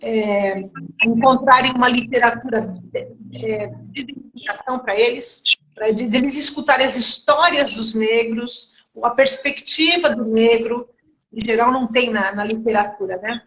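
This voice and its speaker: A woman's voice: fairly high pitch, fairly even intonation, moderate pace, a bit bright, highs cut off. A woman hosting a casual live-stream interview, chatty and full of tag questions. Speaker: interview host. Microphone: remote call over a live stream.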